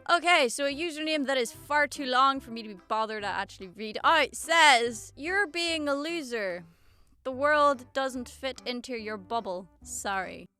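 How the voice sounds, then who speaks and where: A woman's voice: sits high, lively intonation, normal speed, pushed and bright, clear, resonant tone, soft background music. A woman in her twenties casually reading out comments and answering them in a sarcastic, self-mocking way. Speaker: singer and YouTuber.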